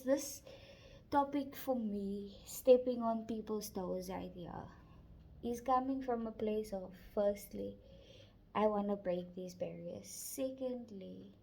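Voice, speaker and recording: South African woman, native Afrikaans speaker, gravelly fry, mellow dark tone, blunt vlog rant. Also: a little high. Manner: switches between English and Afrikaans; blunt and impassioned. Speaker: vlogger. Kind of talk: personal monologue to camera.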